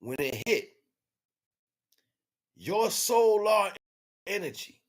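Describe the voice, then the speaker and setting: A man's voice: high-pitched, big pitch swings, very slow. A man hosting an informal spiritual teaching livestream, talking in slang with emphatic, repeated phrases. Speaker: livestream host.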